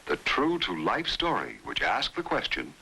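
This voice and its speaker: Husky, forceful male radio announcer. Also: fairly low pitch, wildly swooping pitch, moderate pace, low-quality recording. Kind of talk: scripted old-time radio narration.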